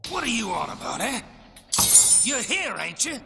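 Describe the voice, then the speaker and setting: An actor playing a sly, mocking cyborg mercenary in a video game, with a gravelly voice, an Australian accent and slangy, taunting delivery. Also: male voice, very high voice, wildly swooping pitch, moderate pace, background noise, heavily acted. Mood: cajoling. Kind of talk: video game cutscene acting. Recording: music under the voice.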